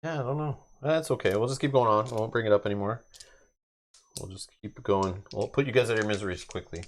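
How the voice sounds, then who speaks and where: Low-voiced man hosting a game stream. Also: fast. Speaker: board game streamer and host. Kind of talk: casual live playthrough chat.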